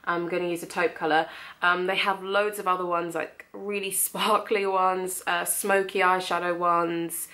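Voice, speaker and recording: A woman's voice: fairly low pitch, level tone, normal speed, sharp tone. Young woman, beauty vlogger, mild cold, nasal, casual tutorial chat. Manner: chatty, friendly, lots of ums. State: has a cold, slightly nasal.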